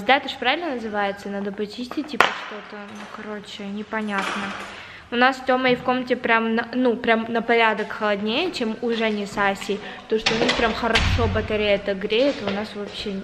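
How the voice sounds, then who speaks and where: Chatty high-voiced young woman vlogging. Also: reverberant. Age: young adult. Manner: chatty, bubbly, quick shifts into excited high tones. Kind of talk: casual vlog chat to camera. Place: chilly house living room, indoors. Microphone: handheld camera at arm's length. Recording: noisy home camera audio.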